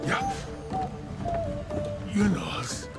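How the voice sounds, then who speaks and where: A man's voice: drawn-out words, over loud music. A man playing a boastful, dim, burly Spartan athlete in a video game, talking bluntly in childlike, broken grammar. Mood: happy, simple. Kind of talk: video game character acting.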